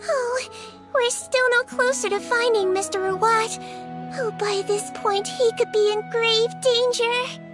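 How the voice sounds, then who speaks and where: A very high, childlike girl nurse for a game. She is sweet yet clinical, speaking politely and precisely. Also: fairly even intonation, measured pace, loud music behind the voice. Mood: coaxing. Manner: polite, precise, matter-of-fact. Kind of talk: video game character dialogue.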